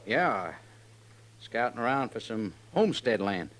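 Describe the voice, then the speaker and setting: An actor in an old-time radio western playing a naive, nervous homesteader with a rural American accent. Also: male voice, lowish voice, sing-song, measured pace, a bit bright. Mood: wary, friendly. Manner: earnest, nervous. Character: naive, frightened young homesteader, plain and earnest. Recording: noisy old radio recording.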